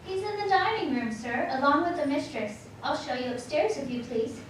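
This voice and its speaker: A woman's voice: sits high, animated, moderate pace. A woman playing a polite, helpful young housemaid in a live radio drama. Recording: distant echoey stage, clear noise.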